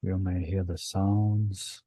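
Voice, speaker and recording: A man leading a guided meditation in a hushed, unhurried manner with long pauses between short phrases. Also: low-pitched, little pitch movement, husky, no room sound.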